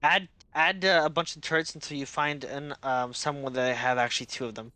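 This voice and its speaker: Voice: medium pitch, fairly even intonation, a little fast, sharp tone, dry recording. A game streamer chatting casually and rambling through long explanations, swearing now and then. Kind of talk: casual gaming stream chat.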